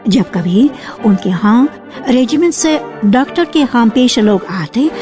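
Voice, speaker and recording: A woman narrating a literary story for radio in a measured storytelling manner. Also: high-pitched, darkish tone. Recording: reverberant archival radio recording with music.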